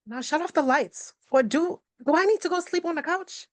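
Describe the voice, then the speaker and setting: A woman's voice: high voice, animated, normal speed, no room sound. A woman playing an irritable, sarcastic young man's fiancée in a live script read, snappish and biting. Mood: irritated. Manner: snappish, biting, foul-mouthed. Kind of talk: live screenplay table read.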